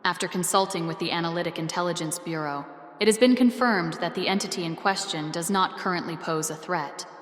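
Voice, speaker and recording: A woman's voice: fairly low pitch, close to an everyday voice. Woman as a solemn otherworldly guardian, heavily reverberant. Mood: solemn, steadfast. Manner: formal, grandiose, measured. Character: solemn, benevolent female otherworldly guardian messenger. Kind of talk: scripted solemn proclamation. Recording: echoey, long reverb, faint music behind.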